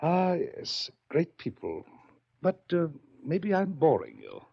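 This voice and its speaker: A cultured, charming middle-aged mastermind of old radio drama, polite with a faint threat. His voice is gravelly and rises and falls widely. The recording is dull and aged. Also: on the high side, wildly swooping pitch, exaggerated voice. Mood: urbane, measured. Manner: urbane, deliberate, faintly menacing politeness. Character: charming, cultured big iron-haired man, menacing yacht host. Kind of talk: 1940s radio acting.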